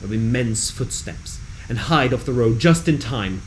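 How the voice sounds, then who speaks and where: Male storyteller for a tabletop recap: medium pitch, dramatic and measured, slight background hum. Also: lively intonation, poor audio. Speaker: narrator reading a tabletop game recap. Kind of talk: dramatic scripted recap narration.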